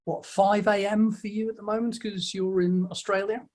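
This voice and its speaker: A man's voice: sits high, little pitch movement, moderate pace, a little mellow. A man hosting a casual meetup over a video call, friendly and welcoming, often stumbling and restarting his words. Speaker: host of a weekly online meetup.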